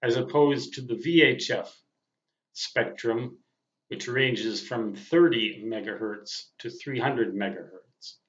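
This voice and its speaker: A man in his sixties giving a casual, reflective monologue as a veteran radio hobbyist, speaking in a deliberate, halting way with emphatic phrases. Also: medium pitch, fairly bright tone. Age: 60s. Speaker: veteran amateur radio operator. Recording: muffled audio in a roomy space.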